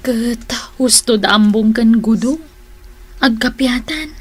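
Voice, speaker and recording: A woman's voice: fairly high pitch, slow pace, husky. A woman playing a friendly, forgiving village neighbour in a radio drama, chatty and conciliatory. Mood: reconciling.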